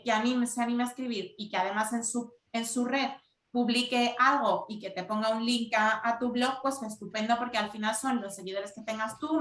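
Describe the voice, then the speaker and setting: A woman with a slightly high voice lays out her advice in a measured, explanatory way, circling back to stress her points. She speaks over a video call, and her voice rings a little in an echoey room. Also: a bit bright. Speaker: corporate communications lead. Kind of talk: webinar panel answer.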